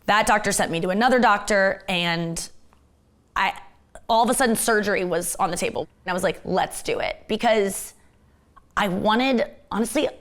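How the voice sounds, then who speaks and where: A woman comedian with a husky, gravelly voice and strained vocal cords, chatting fast and candidly in an interview. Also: medium pitch. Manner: rapid, candid, self-deprecating talk with many fillers. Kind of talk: casual fast-talking interview chat.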